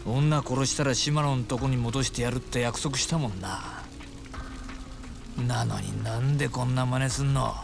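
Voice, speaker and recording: A man acts out a menacing yakuza from a video game, his voice heavy with creak. He drawls and taunts, mock-wounded yet coldly murderous. Music and rain sit behind him. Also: medium pitch, fairly even intonation, brisk, natural voice. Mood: aggrieved, threatening. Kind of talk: video game cutscene drama acting.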